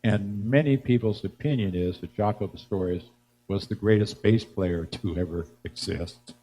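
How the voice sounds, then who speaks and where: An older man hosting a live music show, giving a rambling, halting spoken introduction about music history, pausing to recall details. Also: on the low side, mellow. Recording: noticeable background noise and hum.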